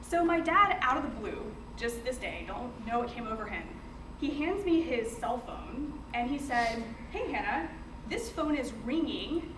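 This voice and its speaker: Higher-voiced American woman presenting in a roomy space. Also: very animated, unhurried. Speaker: company founder presenting to teachers. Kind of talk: informal presentation with personal storytelling. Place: indoor room, speaking to a group. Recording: roomy, distant mic, noisy background.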